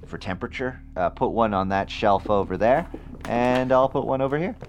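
An actor playing a polite, eager paranormal investigator in audio drama dialogue. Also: male voice, mid-range voice, moderate pace, highs cut off, slight background noise, natural voice. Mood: businesslike.

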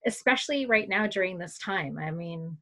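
A woman's voice: fairly low pitch, lively intonation, moderate pace, bright, forceful tone. A woman from Canada, a mother and podcast guest, telling stories in a playful way and laughing as she goes. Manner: playful, laughing, loose storytelling. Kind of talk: casual chat.

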